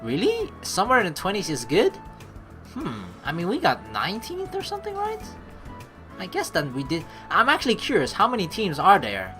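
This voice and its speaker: A woman's voice: medium pitch, huge pitch swings, moderate pace. A woman doing casual let's play commentary, rambling as she thinks aloud and reading game text, with some casual swearing. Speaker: let's player. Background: game music.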